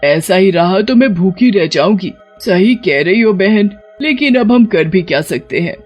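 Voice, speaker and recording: A woman voicing a sly, hungry female witch in an animated story, gloating and taunting. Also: medium pitch, unhurried, faint music behind. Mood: hungry, scheming. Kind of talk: animated Hindi moral story.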